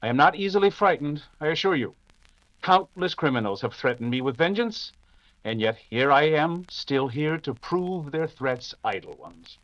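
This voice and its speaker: An actor playing an unflappable English detective, measured and dryly dismissive, in a 1940s radio drama style. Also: male voice, a little high, expressive, fairly bright tone, no echo, lo-fi sound. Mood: calm composure.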